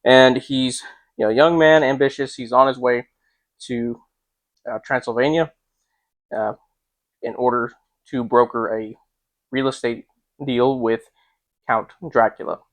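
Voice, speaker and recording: A man reading and discussing a classic novel as host, in an informal, measured, slightly hesitant way. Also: mid-range voice, steady tone, no echo. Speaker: reader and host. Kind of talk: dramatic literary reading with casual commentary.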